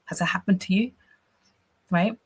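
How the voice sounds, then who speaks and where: A woman's voice: on the low side, normal speed, husky. A woman from Austria, a business coach teaching a live workshop in a chatty, encouraging way, often checking in with "right?" and "you know".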